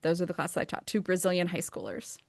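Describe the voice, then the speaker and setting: A woman's voice: fairly low pitch, animated, fast, gravelly, darkish tone, no echo, studio quality. A woman in her thirties with an American accent, talking about her books as an interview guest, enthusiastic and chatty with frequent fillers. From United States. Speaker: author and guest. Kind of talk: casual interview conversation.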